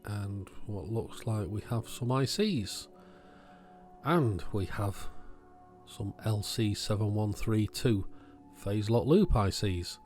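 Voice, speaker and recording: A man with a fairly low voice talks casually through a hands-on hobby task. His delivery is unscripted and matter-of-fact, as if thinking aloud, with some music in the recording. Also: slow pace, a little mellow. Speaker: electronics hobbyist video host. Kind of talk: casual hands-on unboxing and testing commentary.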